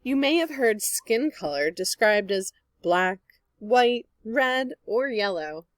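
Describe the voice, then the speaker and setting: A woman reading a picture book aloud like a teacher, in a clear, expressive manner meant for young children. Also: a little high, slow, no echo, studio quality. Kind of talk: children's read-aloud narration.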